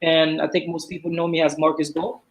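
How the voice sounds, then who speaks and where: A man's voice: on the high side, level tone, a little fast, low-quality recording. A man in his thirties from Haiti with a Haitian accent, chatting casually as a guest and often repeating words as he starts a thought. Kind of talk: casual video-call interview.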